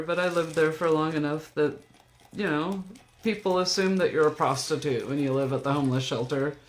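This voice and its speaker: An older woman with a deep voice, venting to her camera in a blunt, profane, rambling vlog. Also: a little hiss or hum. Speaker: vlogger. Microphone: camera mic.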